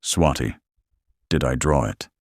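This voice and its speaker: A man playing a skeptical, sarcastic podcast listener growing unnerved by a horror tale, reacting with deadpan disbelief. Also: very deep voice, unhurried, no echo. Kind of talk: scripted horror podcast banter.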